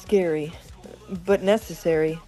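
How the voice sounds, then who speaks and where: A woman's voice: lowish voice, steady tone, measured pace, dark tone, faint music behind, natural voice. A woman playing a candid, wary teenage girl in a dramatic script reading. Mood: earnest.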